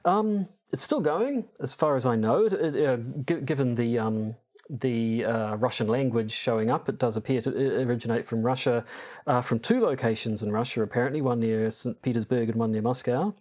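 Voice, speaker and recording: Halting male podcast co-host. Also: medium pitch, a little mellow. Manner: hesitant, halting, searching for words. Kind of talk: explaining from notes in casual podcast talk.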